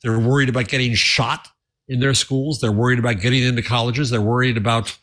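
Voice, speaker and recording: A man co-hosting a casual tech talk show, earnest and halting, often restarting his sentences. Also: medium pitch, steady tone, a bit bright. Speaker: podcast co-host.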